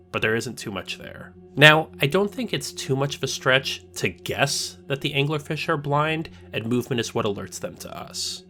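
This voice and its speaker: A man narrating a scripted video essay in a measured, explanatory way. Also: medium pitch, fairly bright tone.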